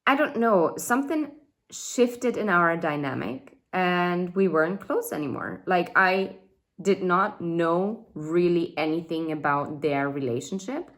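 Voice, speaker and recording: A woman's voice: on the low side, a little slow. A woman in her thirties giving a casual tarot reading, chatty and thinking aloud with fillers and asides. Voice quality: says she has a loud voice. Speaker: tarot reader.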